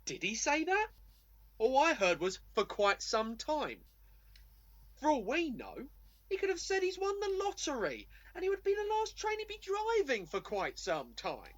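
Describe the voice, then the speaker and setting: A woman's voice: sits high, huge pitch swings, unhurried, full character voice. A woman acting in an amateur radio play as an arrogant, sarcastic city professional with a pompous, scornful manner. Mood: sceptical, mocking.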